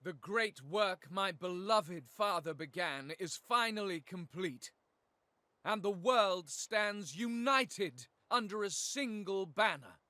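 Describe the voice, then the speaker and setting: A proud young king with a high, bright, ringing voice. He declaims slowly and solemnly, like a stately game cutscene oath. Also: lively intonation, no room sound, exaggerated voice. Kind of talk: video game cutscene acting.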